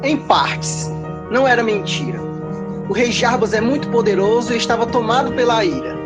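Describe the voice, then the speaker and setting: Haughty, self-justifying ruler god played by a woman, high-pitched, declamatory, mythological comedy drama, music underneath. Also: animated, normal speed, lightly acted. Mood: defensive. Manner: pompous, declamatory. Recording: music behind the voice.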